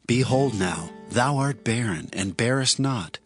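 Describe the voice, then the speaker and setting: A man playing a solemn angel in dramatized scripture, speaking with calm, grave deliberation. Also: mid-range voice, soft background music, natural voice. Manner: slow, solemn. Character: solemn male angel announcing a birth.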